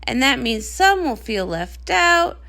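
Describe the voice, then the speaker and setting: A woman voicing a grumpy, anxious young pony princess, a tomboy sister with a flat, sardonic, reluctant way of talking. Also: high-pitched, takes it slowly, gravelly, full character voice.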